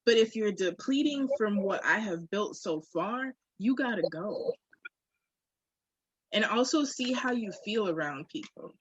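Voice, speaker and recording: Woman, husky voice, emphatic casual talk, swears freely, slightly muffled lo-fi audio. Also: mid-range voice, lively intonation, measured pace, no room sound. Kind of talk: candid podcast conversation.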